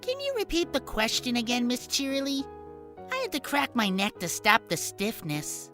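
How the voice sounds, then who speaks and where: A cheeky, defiant cartoon schoolboy, voiced by a woman, talks back to adults. The voice is high and sharp, swooping dramatically in pitch. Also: wildly swooping pitch, moderate pace, soft background music. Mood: cheeky, smug. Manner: cheeky, protesting. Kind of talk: cartoon fan fiction character acting.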